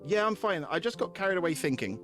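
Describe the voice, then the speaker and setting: A man's voice: high-pitched, big pitch swings, quick pace, music in the background, pitched above the performer's own voice. A man reading the part of an awkward, easily embarrassed young visual novel protagonist. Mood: reassuring. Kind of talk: visual novel read aloud.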